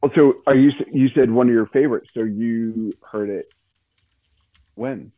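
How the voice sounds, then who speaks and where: A man chatting casually as a radio collector, with hesitant, halting phrasing, over a thin phone-like line. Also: mid-range voice, dry recording. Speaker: old-time radio collector. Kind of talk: casual chat.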